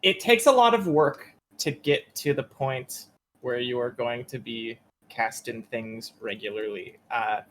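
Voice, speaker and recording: A man's voice: mid-range voice, unhurried, a bit bright. A man who works as a voice actor, chatting casually and hesitantly on a panel, rambling a little with informal language. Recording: noisy convention stream audio.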